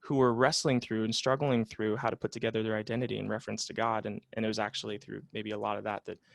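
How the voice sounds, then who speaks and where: A man's voice: fairly low pitch, level tone, fast, creaky, dry recording, clean studio sound. A man in his late twenties, a psychotherapist, talking reflectively in a casual interview with plenty of fillers and restarts. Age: 20s. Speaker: psychotherapist guest.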